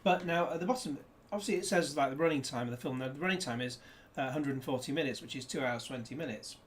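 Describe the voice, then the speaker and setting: A man's voice: medium pitch, fast talker, slight background noise. A man chatting casually through a disc unboxing, rambling with jokey asides, recorded indoors on a desk camera. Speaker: disc reviewer.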